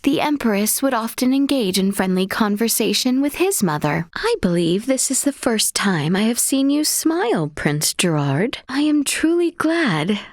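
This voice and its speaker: A woman's voice: a little high, sing-song, measured pace, hardly any pauses, no room sound, exaggerated voice. Gracious young fantasy princess type for a game, really formal and earnest, takes her time, dry close recording. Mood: sincere, solemn. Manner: formal, courtly, polite, archaic phrasing. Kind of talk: video game voice acting.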